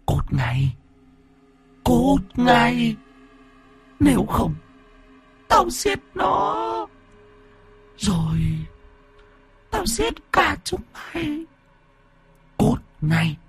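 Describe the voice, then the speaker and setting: A man's voice: very high voice, huge pitch swings, drawn-out words, vocal fry, pauses often, faint music behind, voice pushed up, heavily acted. A man voicing a young woman possessed by a vengeful male ghost, hissing menacing threats in a horror story. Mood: shrieking.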